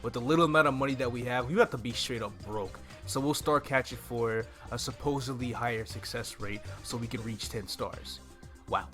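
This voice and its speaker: A man's voice: medium pitch, fairly quick, pushed and bright. Young man casually commentating over game music. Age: young adult. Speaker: gaming video host. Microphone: desk microphone close to the mouth.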